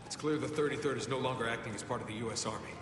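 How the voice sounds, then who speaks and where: Commanding American soldier played by an actor in echoey hall. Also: male voice, medium pitch, very fast, background noise, close to an everyday voice. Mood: firm, controlled. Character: resolute male US special forces captain, commanding, dutiful. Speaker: video game voice actor. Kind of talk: military drama game dialogue. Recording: reverberant hall, loud music behind.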